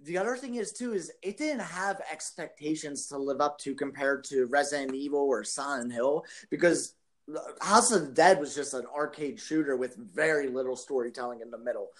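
A man with a voice slightly higher than most men's, talking casually on a panel podcast. He explains things in a loose, informal, conversational way. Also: normal speed. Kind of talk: casual chat.

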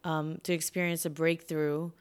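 A woman's voice: low voice, level tone, moderate pace, smooth. A woman born in the Philippines who moved to the U.S. as a child, co-hosting a relationship podcast and talking thoughtfully with her husband. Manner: thoughtful, soft-spoken, laughs lightly. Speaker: podcast co-host. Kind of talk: casual conversation with her husband.

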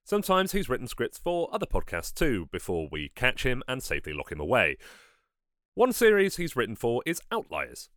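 A man's voice: on the low side, a bit bright, no room sound, studio quality. A man speaking as a podcast producer and host, making informal announcements in an enthusiastic, conversational way.

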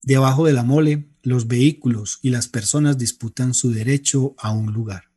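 A man's voice: medium pitch, steady tone, normal speed, dark tone, husky. A man with a Colombian accent reading scripted literary narration for a radio drama.

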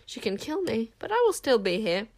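A woman voicing a calm, dignified male enchanter king, measured and ironic, in an audiobook story. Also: on the high side, gravelly, heavily acted. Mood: calm, smiling.

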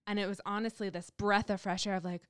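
A woman's voice: mid-range voice, level tone, fast talker, smooth, no echo, studio quality. A woman pastor who grew up in Canada, speaking casually in a panel discussion with light self-deprecating humor.

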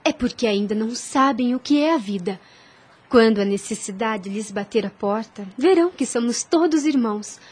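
Gentle young singer, high breathy voice. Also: female voice, animated, normal speed. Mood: gently instructive. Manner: earnest, consoling, formal. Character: gentle, pious young street singer, caring elder sister. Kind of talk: radio drama acting.

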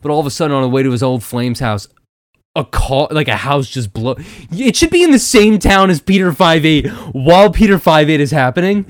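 A man's voice: on the high side, lively intonation, a little fast, no room sound, studio quality. A man in casual podcast banter, riffing on jokes and laughing along.

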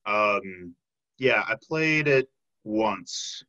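A man's voice: on the low side, animated, extremely slow, bright, forceful tone, muffled recording. A man hosting a casual interview, chatting in a friendly, informal way. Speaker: podcast host.

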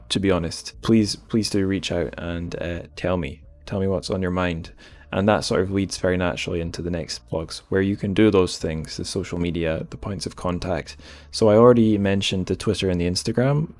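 A man's voice: sits low, darkish tone. A man hosting a podcast, chatting informally and rambling a little in a conversational way. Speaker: podcast host. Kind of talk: casual podcast chat.

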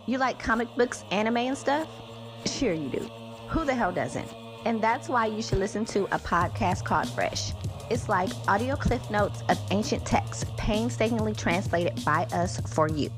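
A woman's voice: mid-range voice, lively intonation, normal speed, over music. A woman reading a casual, cheeky podcast promo, speaking brashly and directly to the listener. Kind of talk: casual, cheeky advertisement read.

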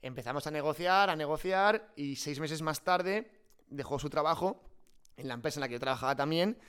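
A man's voice: a little high, a little fast, fairly bright tone, clear, resonant tone. A man from Spain with a Peninsular Spanish accent, telling stories informally and colloquially as a podcast guest. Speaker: podcast guest, startup co-founder. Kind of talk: improvised conversational interview.

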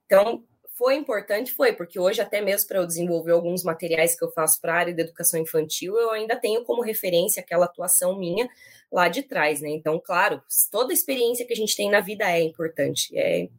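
A Brazilian woman in her thirties with a rural São Paulo accent and drawn-out r, talking casually as an interview guest. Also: lowish voice. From São Paulo state, Brazil. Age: 30s. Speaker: interview guest, education professional.

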